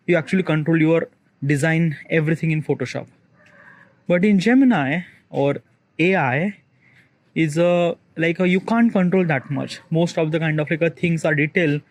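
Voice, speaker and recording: A man, a content creator recording a solo spoken diary, thinking aloud in a hesitant, rambling way full of fillers and restarts. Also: fairly high pitch.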